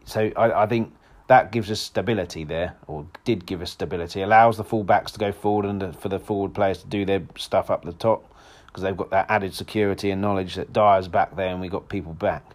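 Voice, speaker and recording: A man with a hoarse voice, hosting a solo football podcast in a rambling, casual way with lots of restarts. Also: sits low, steady tone, a little fast, hardly any pauses. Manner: rambling, frequent restarts and fillers.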